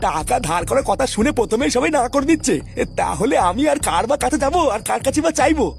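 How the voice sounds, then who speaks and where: Squeaky-high, creaky old Bengali cartoon husband. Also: male voice, sing-song, fast talker, a little hiss or hum, exaggerated voice. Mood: defensive, pleading. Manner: plaintive, pleading, repeats little interjections. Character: meek, hungry, hapless old Bengali husband. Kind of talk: Bengali comedy cartoon dialogue.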